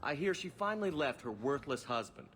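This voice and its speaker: An actor playing a charming male courtesan who talks in a smooth, flirtatious and self-mocking way. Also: mid-range voice, very animated, fairly quick, fairly bright tone. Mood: flirty. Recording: game cutscene with guitar music.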